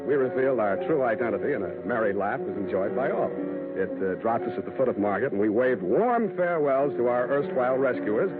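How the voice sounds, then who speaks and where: A man's voice: medium pitch, natural voice. A hardboiled male private detective played by a radio actor, dry, sardonic and given to drawling hesitations. The vintage radio recording is thin and narrow, with prominent music around his noir delivery. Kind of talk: 1940s radio acting, noir narration and dialogue.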